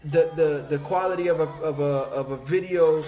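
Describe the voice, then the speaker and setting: High-voiced host in an echoey room. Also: male voice, normal speed, dark tone. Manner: rambling, restarts often, shares trivia. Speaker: podcast host. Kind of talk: casual chat.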